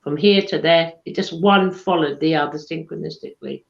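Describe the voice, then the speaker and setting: A posh southern English woman talks in a relaxed, chatty way on a live show, dropping in "darling" as she goes. Her voice sits a little low. The sound is dull and lo-fi, with a roomy echo around her. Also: fairly quick, creaky. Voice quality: posh, calls people darling. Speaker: medium and chat show co-host. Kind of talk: casual live chat. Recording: echoey room, dull lo-fi sound.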